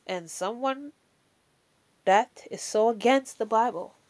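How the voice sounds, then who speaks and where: A woman speaking slowly with a Jamaican accent, in a fairly high voice. She gives an earnest faith pep talk, pressing her points home with repetition. Her tone stays chatty and direct, and she checks in with "all right?". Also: noisy background. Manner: earnest, emphatic, repeats key phrases; chatty, adds "you know" and "all right". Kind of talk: casual motivational faith talk.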